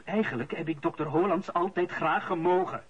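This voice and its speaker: A man's voice: fairly high pitch, normal speed, lo-fi sound. An actor playing an indignant, defensive male suspect who argues back with a Flemish accent in a radio play. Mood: protesting. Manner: argumentative, protesting. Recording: radio play with clear background noise.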